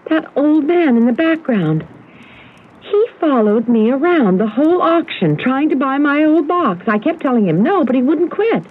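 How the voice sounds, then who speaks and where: An actress playing a curious, romantic wife with a sleuthing streak in a radio drama, speaking in an expressive, teasing way. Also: female voice, sits high, moderate pace. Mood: intrigued, excited. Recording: tinny, hissy old radio broadcast recording.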